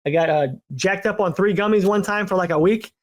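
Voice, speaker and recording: A man hosting a podcast in casual chat, rambling and animated, often repeating and restarting his words. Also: high voice, a little fast. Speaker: podcast host.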